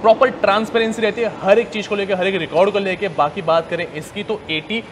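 A man's voice: high voice, steady tone, a little fast, a bit bright. An enthusiastic man, chatting casually as a vlog host. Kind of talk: casual Hindi-English vlog presenting. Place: indoor basement car showroom. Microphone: clip-on lapel mic. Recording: faint ambient noise of indoor car park.

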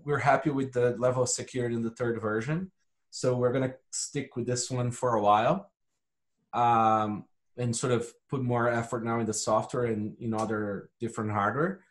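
A man from Brazil giving casual, matter-of-fact explanations as a podcast guest. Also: medium pitch. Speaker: podcast guest, hardware company founder.

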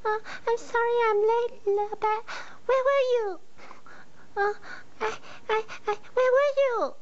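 A woman's voice: extremely high pitch, measured pace, a little hiss or hum. A woman voicing silly chattering pets, stammering and ending lines with "la". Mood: flustered. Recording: dull lo-fi sound.

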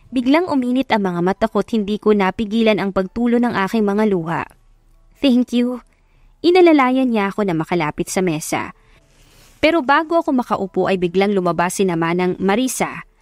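A woman's voice: mid-range voice, no room sound, clean studio sound. A woman reading a scripted first-person love story as an audiobook narrator.

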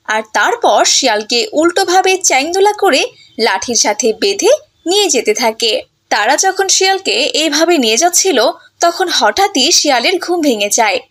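A woman's voice: high-pitched, fairly bright tone. A woman narrating a scripted story for children, in the manner of a fairy-tale cartoon.

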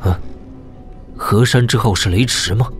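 A musing, sardonic young swordsman acted by a man in a wuxia audio drama. His voice sits slightly low. Music plays behind him. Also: a little slow, lightly acted. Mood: puzzled. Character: young wuxia hero, thoughtful, wry and sardonic. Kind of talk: Chinese audio drama acting.

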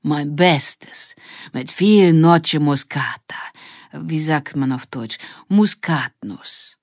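An old Italian grandmother from a storybook, played by a woman. She is proud and fond, drawing her words out slowly in an Italian accent, in a low female voice. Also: no echo, clean studio sound, exaggerated voice. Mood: reminiscing. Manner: slow, drawn-out delivery.

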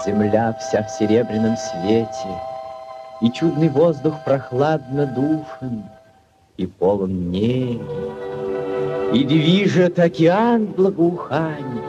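Неторопливого, восторженного рассказчика. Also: male voice, medium pitch, lively intonation, highs cut off. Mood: rapt, lyrical. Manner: lyrical, theatrical declamation with long pauses. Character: rapt lyrical male storyteller. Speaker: narrator. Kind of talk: 1940s radio drama literary narration. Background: prominent music.

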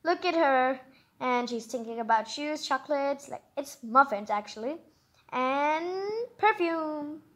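Bright high voiced girl reading a picture book out loud, chatty, exclaims a lot between bits. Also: expressive, slow. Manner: exclaiming, informal commentary. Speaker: host of a story reading channel. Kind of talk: storybook read-aloud with chatty asides.

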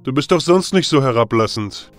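A man's voice: medium pitch, animated, brisk, faint music behind, lightly acted. A man playing a blunt, dismissive war god in an audio drama. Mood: dismissive, puzzled. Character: gruff, puzzled male god of war.

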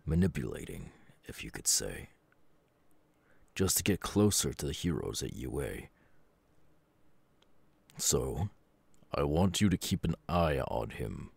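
Male voice actor as a stern middle-aged superhero dad, extremely low pitch, slow, grave, gruff, anime ASMR roleplay. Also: big pitch swings, darkish tone, no room sound, full character voice. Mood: serious, wary. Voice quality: gravelly, husky.

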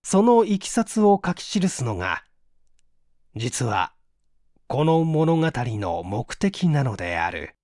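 A man reading literary fiction aloud as a narrator, in a theatrical, deliberate storytelling manner. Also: mid-range voice, big pitch swings, darkish tone, dry recording, clean studio sound. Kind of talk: dramatic literary audiobook reading.

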